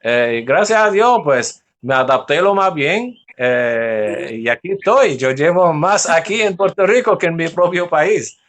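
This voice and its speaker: A man's voice: a little high, measured pace, few pauses. Middle-aged Jordan-born man. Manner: relaxed, frequent fillers. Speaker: guest. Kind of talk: interview answers. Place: mosque.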